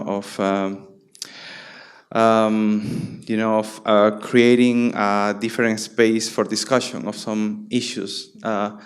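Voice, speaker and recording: Male curator from Peru, late 30s, low smooth voice, halting panel talk. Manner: halting, many restarts and fillers. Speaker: guest curator. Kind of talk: panel conversation.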